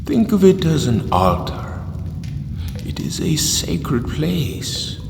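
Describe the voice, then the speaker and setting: A man acting in an audio drama as a calm, zealous cult leader, speaking solemnly and grandly with hushed menace. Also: a little high, slow, gravelly, exaggerated voice. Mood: ominous, reverent. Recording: echoey hall with long reverb.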